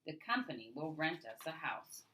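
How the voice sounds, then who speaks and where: A caring, hard-working mother from a children's storybook, as a woman reader plays her. Her voice is fairly low yet bright. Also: very animated, normal speed, heavily acted, rough recording. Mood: matter-of-fact.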